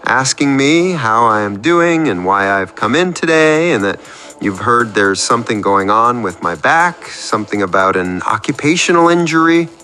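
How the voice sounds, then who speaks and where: Man playing an animated, rambling, needy male caller. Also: medium pitch, normal speed, soft background music. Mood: narrating earnestly. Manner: rambling, earnest, apologetic. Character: self-deprecating, needy, neurotic male caller. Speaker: radio show caller.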